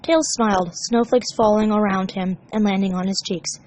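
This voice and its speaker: A woman's voice: medium pitch, moderate pace, darkish tone. A woman narrating a scripted story as a fan fiction reader.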